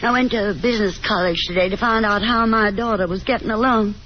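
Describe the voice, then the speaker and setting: A woman's voice: mid-range voice, steady tone, brisk, lightly acted. An actress playing an anxious, reproachful middle-aged mother in a theatrical 1950s radio drama manner. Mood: reproachful, distressed. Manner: theatrical, scolding. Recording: dull, noisy 1950s radio broadcast.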